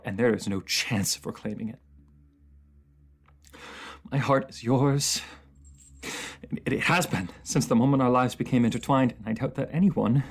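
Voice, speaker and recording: A man's voice: mid-range voice, slightly rough. A man playing an anguished, proud enemy ruler in a fantasy audio roleplay, speaking in formal, theatrical phrases that halt with emotion. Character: captive enemy ruler, anguished, proud, lovesick, under truth potion.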